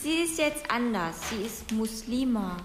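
A woman's voice: a little high, animated, a little slow, very noisy. An actress playing a chatty, blunt teenage schoolgirl who gossips. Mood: gossipy.

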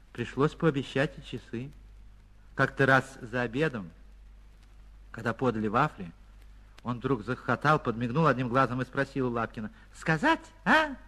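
A man reading a literary short story for radio as an actor, expressive and theatrical, with deliberate pauses. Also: medium pitch. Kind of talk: dramatic literary narration for radio.

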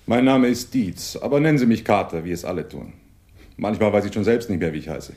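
Actor doing glib easygoing wheeler-dealer in a radio play, low mellow voice. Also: male voice, expressive, brisk, lightly acted. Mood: courteous, sympathetic. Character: smooth, obliging male adventurer and junk dealer nicknamed Cat. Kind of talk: radio drama acting.

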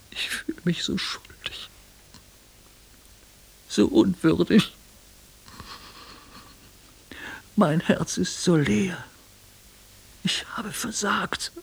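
An actor playing an anguished, guilt-ridden man praying near death in a radio play, slow and halting, with a husky, breathy voice. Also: fairly high pitch, full character voice. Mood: despairing. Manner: slow, halting confession, often near whisper. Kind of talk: German radio play acting.

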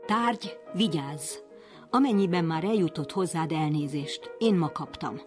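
A woman reading a text aloud on the radio in a steady, deliberate way. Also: low-pitched. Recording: voice over music bed. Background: music.

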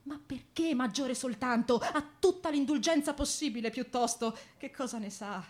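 A woman's voice: high-pitched, moderate pace, darkish tone, lightly acted. A woman playing a timid but stubborn young priest in a theatrical radio drama, earnest and questioning. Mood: hesitant.

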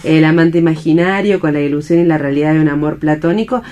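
A man in a conversational radio discussion, speaking with halting phrases as he searches for words. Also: fairly high pitch, a little mellow. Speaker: radio panelist.

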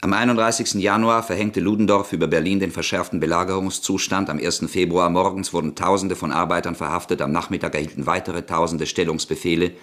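Deep-voiced male radio-play narrator. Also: fairly even intonation, fast, hardly any pauses, a bit bright.

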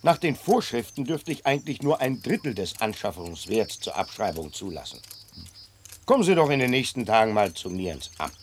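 An actor playing a smug, officious tax inspector in a German radio drama, chatty and unctuous with false courtesy. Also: male voice, lowish voice, sing-song, moderate pace, slight background noise.

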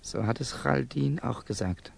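An actor playing a bitter, guarded, touchy young Russian student in a 1960s German radio drama, with clipped, defensive questioning. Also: male voice, medium pitch, unhurried, vocal fry, slightly rough, exaggerated voice.

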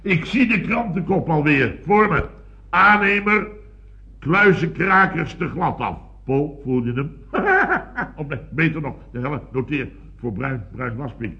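An actor playing an excitable, blustering building boss who stammers and garbles words in a radio comedy. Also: male voice, a little high, measured pace, vocal fry, full character voice. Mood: gleeful, scheming. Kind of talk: Dutch radio comedy acting, around 1970. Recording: dull old radio recording.

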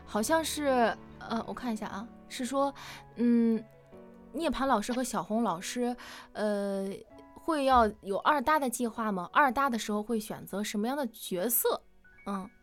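A woman with a fairly high voice hosts a casual live interview. She speaks conversationally as she puts questions to her guests, with music playing behind her. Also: a little slow. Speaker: livestream host interviewing voice actors.

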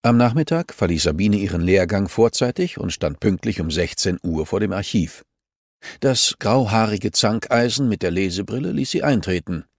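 A man's voice: fairly low pitch, animated, moderate pace, no echo, studio quality. A man reading a novel aloud as an audiobook narrator, in scripted narration.

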